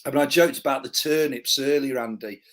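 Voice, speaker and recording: A man's voice: medium pitch, a little fast. A man from North Wales hosting a live podcast, talking in a reflective, storytelling way. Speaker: podcast host.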